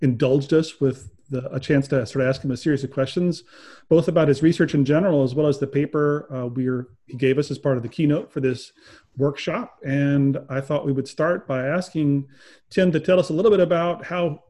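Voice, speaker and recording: A man's voice: a little high, steady tone, a little fast, dark tone. A man introducing a guest and asking questions in an informal virtual interview, often restarting his sentences.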